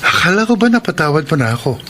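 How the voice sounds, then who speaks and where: A man's voice: on the high side, big pitch swings, fast, pushed and bright, a little hiss or hum. A man playing a needy, demanding young husband in a Filipino radio drama. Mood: frustrated, pleading.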